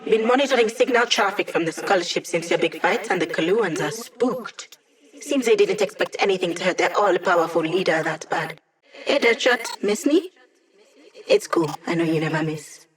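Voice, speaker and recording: A woman's voice: fairly low pitch, lively intonation, normal speed, lightly acted. A woman voicing a wry, upbeat young hacker who lives as a digital mind, in a casual, teasing video game performance. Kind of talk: video game character voice lines.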